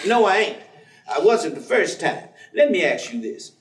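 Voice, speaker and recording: Actor as high-pitched folksy ex-convict, echoey stage. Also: male voice, big pitch swings, moderate pace. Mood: probing, playful. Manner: drawling, chuckling, colloquial, coaxing. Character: folksy, streetwise ex-convict host, persistent and teasing. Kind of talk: staged play reading before an audience. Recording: echoey stage room, distant microphone.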